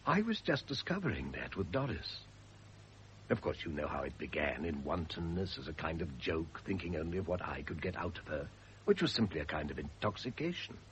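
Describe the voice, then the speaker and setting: An actor playing a condemned, remorseful philanderer in a radio drama, halting and impassioned as he confesses. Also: deep voice. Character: anguished condemned man, remorseful philanderer awaiting his end. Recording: muffled old radio drama recording, faint hiss.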